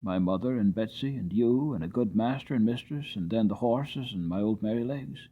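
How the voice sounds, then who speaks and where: A man's voice: on the low side, moderate pace, husky, phone-quality sound. A man voicing a young male groom who speaks earnestly and wistfully about leaving home.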